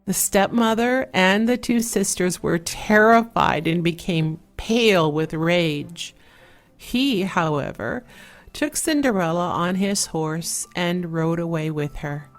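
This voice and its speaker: Woman, lowish pitch, unhurried soft fairy-tale narration, relaxing, measured, 8D panning effect. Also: soft background music. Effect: 8D surround panning. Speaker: storyteller.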